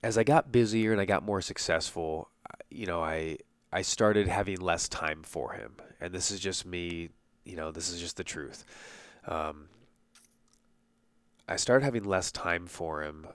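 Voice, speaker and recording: A man in his thirties with a stuffy nose speaks in a low, smooth, resonant voice. He tells a personal story slowly, halting and restarting his sentences. The sound is dry and close to the mic. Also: no echo. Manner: halting, many restarts and fillers. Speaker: podcast host.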